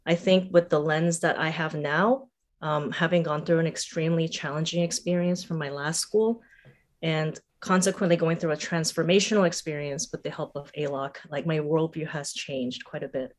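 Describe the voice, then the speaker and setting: Low-pitched, thoughtful woman interview guest. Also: steady tone, moderate pace. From Singapore and Thailand, born in South Korea. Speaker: podcast guest, educator. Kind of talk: conversational interview.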